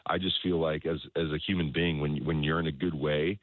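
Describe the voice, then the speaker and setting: A man speaking as a soccer coach in an interview, explaining things in a halting way, repeating words and often checking in with the listener. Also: extremely low pitch, level tone. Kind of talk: conversational sports interview, explaining his coaching philosophy. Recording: roomy echo, dull lo-fi sound.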